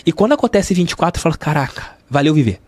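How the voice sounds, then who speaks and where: A man's voice: fairly high pitch, animated, racing, darkish tone, no room sound. A middle-aged Brazilian man with a Rio de Janeiro state accent, telling stories casually and emphatically as an interview guest, repeating phrases for emphasis. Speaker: sports journalist, interview guest. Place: podcast studio.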